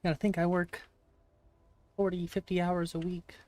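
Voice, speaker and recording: A streamer with a raspy voice chatting casually with viewers during a live game stream. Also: mid-range voice, flat delivery, normal speed, a little mellow, no room sound. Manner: chatty, reacts aloud and answers chat. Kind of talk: casual live-stream chat while gaming. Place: home room.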